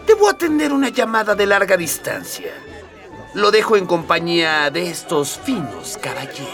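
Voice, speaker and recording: An actor playing a pompous, scheming old prime minister in a radio drama, speaking with formal, ceremonious manners. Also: male voice, high voice, very animated, far from the mic, over music. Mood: cautioning, courtly. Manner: formal, ceremonious, slow-spoken, throat-clearing.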